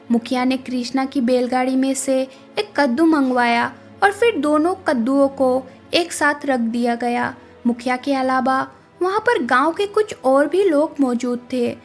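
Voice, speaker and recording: A woman narrates a scripted moral tale. Her voice sits high, and light music plays underneath. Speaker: story narrator.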